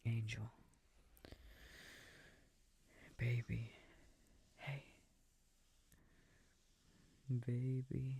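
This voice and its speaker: Soft creaky boyfriend guy acting it out, mellow and lowish, mumbling game commentary for a sleep aid roleplay. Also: dry recording, heavily acted. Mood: tender. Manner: hushed, rambling self-talk, often drifting into whispers. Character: affectionate, easily startled boyfriend gaming beside sleeping partner.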